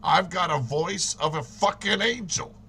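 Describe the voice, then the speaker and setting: A man's voice: sits high, a little slow, pushed and bright, a little hiss or hum. A man co-hosting a casual wrestling storyline chat in a folksy, crude, theatrically indignant manner. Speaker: co-host of a wrestling podcast.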